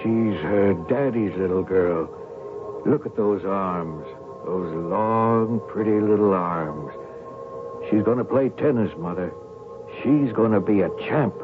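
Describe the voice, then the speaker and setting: A man's voice: on the low side, slow pace, music in the background. An actor playing a domineering, stubborn working-class father with an emphatic, folksy way of talking, in radio drama style. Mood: doting. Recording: tinny radio with faint noise.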